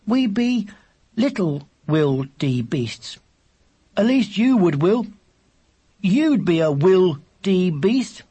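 A narrator voicing a silly, chatty little boy who asks endless questions in a slow, innocent, drawn-out way in a children's story. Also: medium pitch, very animated, mellow, slightly rough, voice pushed up, heavily acted. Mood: cheeky, playful.

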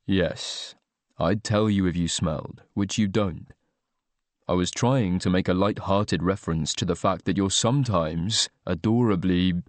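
A man voicing a fastidious, reserved man with precise, measured speech and an anxious streak. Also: low voice, no room sound, pitched below the performer's own voice. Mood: hesitant, defensive.

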